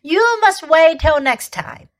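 A woman's voice: extremely high pitch, expressive, slow, voice pushed up, exaggerated voice. Woman doing a sly coaxing witch queen. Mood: teasing. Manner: smooth, wheedling, conspiratorial. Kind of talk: audiobook character voice.

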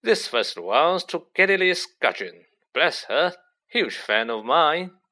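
A man's voice: on the high side, big pitch swings, slow pace, bright, forceful tone, pitched above the performer's own voice, exaggerated voice. A man voicing a vain, self-satisfied celebrity wizard in a storybook, pompous and effusive, stretching out words for emphasis. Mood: self-satisfied, boastful. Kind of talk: audiobook character acting.

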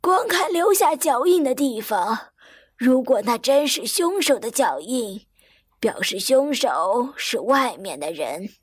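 Actress as polite, high-voiced, observant lady. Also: big pitch swings, slow, husky, no room sound, studio quality, heavily acted. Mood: curious, polite. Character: polite, observant lady guest, quietly probing. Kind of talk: radio drama acting. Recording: music behind the voice.

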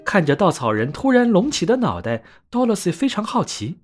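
A man narrating a scripted bedtime story for children in an expressive, clearly phrased way. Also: sits high, brisk. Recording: soft music under narration.

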